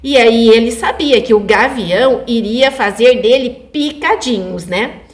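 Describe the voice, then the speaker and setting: A woman's voice: fairly high pitch, measured pace. A woman with a Brazilian Portuguese accent telling a fairy tale to children in an informal, conversational way, often checking in with her listeners. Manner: conversational, addresses listeners with frequent check-in tags. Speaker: children's storyteller.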